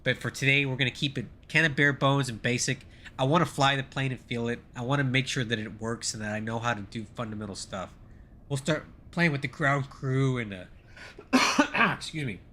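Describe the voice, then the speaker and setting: A man's voice: medium pitch, brisk, sharp tone. A middle-aged man with a congested, nasal voice as he gets over the flu, chatting casually on a live stream and thanking viewers as he goes. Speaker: flight sim streamer.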